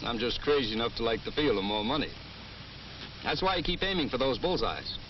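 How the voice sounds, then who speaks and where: Upbeat American route salesman male actor, folksy explainer, lively swinging pitch, noisy vintage film soundtrack. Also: brisk, highs cut off, close to an everyday voice. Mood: confident, explaining. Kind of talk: 1950s training film acting, explaining to listener.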